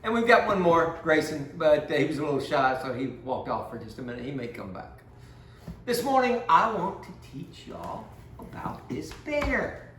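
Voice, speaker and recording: A man's voice: fairly high pitch, very animated, moderate pace, fairly bright tone. A man giving a children's Bible lesson as storyteller, speaking simply and instructively and putting questions to small children. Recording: roomy, distant mic with background noise.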